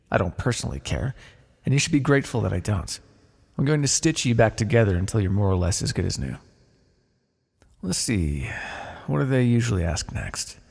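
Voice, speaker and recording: A man playing a blunt, callous, wryly businesslike necromancer in an ASMR fantasy roleplay, muttering in a deadpan, gravelly voice. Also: fairly low pitch, exaggerated voice. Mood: dry, businesslike. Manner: deadpan, muttering to himself in thought. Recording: long reverberant hall echo.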